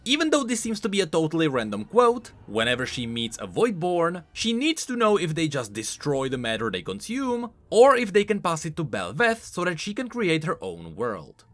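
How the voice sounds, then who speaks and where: A man's voice: on the high side, wildly swooping pitch, normal speed, hardly any pauses, a bit bright, dry recording. A man hosting a scripted explainer on video game lore, talking informally with emphatic, swooping stresses.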